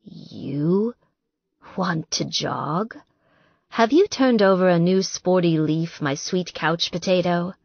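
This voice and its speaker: A woman voicing an affectionate, reserved man who teases gently, in audiobook dialogue. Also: lowish voice, slow, vocal fry, no echo, dull audio, exaggerated voice. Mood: teasing. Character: affectionate, teasing athletic man, reserved boyfriend.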